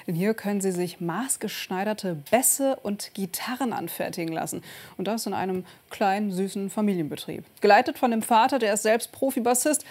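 A woman's voice: mid-range voice, darkish tone. A woman presenting regional news in a conversational way.

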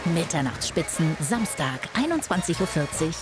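A woman announcing TV trailers in a lively promo style. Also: deep voice, normal speed. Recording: announcer over loud music.

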